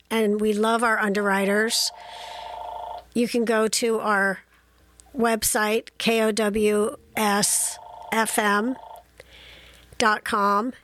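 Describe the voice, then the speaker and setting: A woman hosting community radio, chatting casually and reading passages aloud slowly from a studio microphone. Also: mid-range voice, fairly bright tone, slight background noise.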